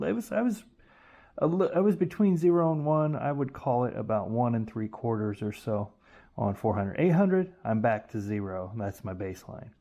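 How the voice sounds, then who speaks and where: A man's voice: lowish voice, mellow. A man hosting a casual hands-on tutorial, explaining informally and thinking aloud, often correcting himself as he goes. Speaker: YouTube host demonstrating vintage audio testing.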